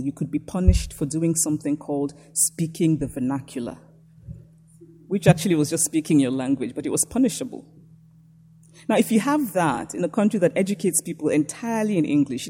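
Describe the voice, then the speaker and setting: A woman from Nigeria with a Nigerian accent, reading a prepared lecture in a measured, reflective way. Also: lowish voice, warm tone, long reverb tail, a little hiss or hum.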